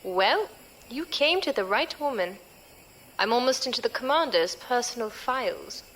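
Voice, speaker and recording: Woman acting a high-voiced, playful code breaker. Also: lively intonation, normal speed, fairly bright tone, slight background noise, poor audio. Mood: playful, pleased. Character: curious, playful female code breaker, pirate's sidekick. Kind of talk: audio drama acting.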